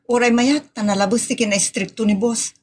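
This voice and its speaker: A woman with an Ilocano accent acting in a comedy audio drama, chatty and lively. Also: a little high, brisk. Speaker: voice actor.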